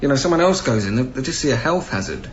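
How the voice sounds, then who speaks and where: An actor playing a patient, conscientious young council officer, gentle and hesitant, in a naturalistic radio drama. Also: male voice, medium pitch, very animated, fast talker, dull audio, natural voice. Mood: earnest, conflicted.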